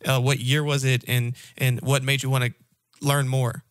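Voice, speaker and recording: A man's voice: mid-range voice, level tone, moderate pace, clear, resonant tone. Upbeat male podcast host. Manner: upbeat, casual, encouraging. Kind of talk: podcast interview hosting.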